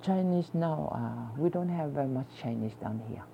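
Older local woman, low-pitched, recorded on dull lo-fi tape with faint hiss. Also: expressive, normal speed, vocal fry. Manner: rambling, explains with tag "you see". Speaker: interviewee. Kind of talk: oral history conversation.

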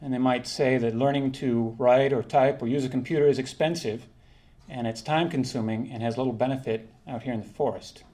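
A man presenting a prepared linguistics talk, reading in a measured, formal way and sometimes correcting himself. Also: medium pitch, steady tone, mellow. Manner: measured, formal delivery with occasional self-corrections.

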